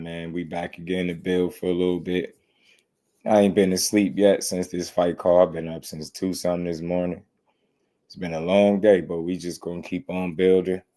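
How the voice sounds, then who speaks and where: A man hosting a casual boxing livestream, slightly hoarse, greeting viewers with loose slang before getting into blunt commentary. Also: low voice, warm tone. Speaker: boxing show host.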